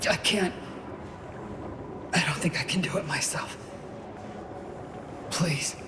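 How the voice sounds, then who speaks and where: Slow, echoing weary dying woman agent. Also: low-pitched. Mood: despairing, pleading. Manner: halting, pleading, colloquial. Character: grievously wounded woman agent, weary, frightened, pleading. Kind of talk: cinematic video game acting. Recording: roomy echo, background ambient noise.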